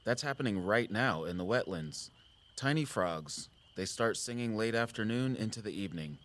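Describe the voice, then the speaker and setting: An actor playing a concerned, patient outdoorsman in a naturalistic audio drama, measured and gently frank. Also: fairly low pitch, animated. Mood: intent, explanatory. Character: Jordan, concerned, patient outdoorsman who watches the woods.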